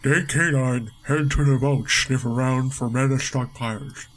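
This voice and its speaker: A man's voice: mid-range voice, steady tone, unhurried, a little hiss or hum, low-quality recording. A man giving casual, jokey let's play commentary, thinking aloud as he reads game dialogue. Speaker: gaming video host.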